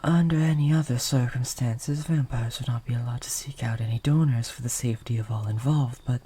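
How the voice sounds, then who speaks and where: A performer voicing a gentle, lovesick, possessive vampire in an intimate audio roleplay, soft and breathy, with formal, hesitant, old-fashioned phrasing. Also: mid-range voice, fairly quick, natural voice. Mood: formal, apologetic. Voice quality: soft, breathy, often dropping toward a whisper.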